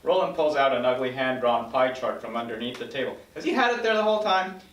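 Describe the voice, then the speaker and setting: A man narrates the action of a TV script as scripted stage directions. His voice has a medium male pitch. It sounds roomy and slightly away from the microphone. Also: animated, fast, fairly bright tone, distant mic. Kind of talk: scripted narration at a table read. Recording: echoey room, slightly off-mic.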